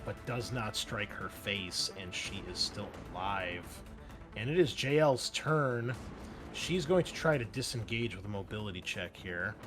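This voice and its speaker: A man acts as game master for a tabletop roleplaying session over a bed of music. His voice sits at a medium pitch, and he moves between dramatic scene narration and casual rules talk. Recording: music behind the voice.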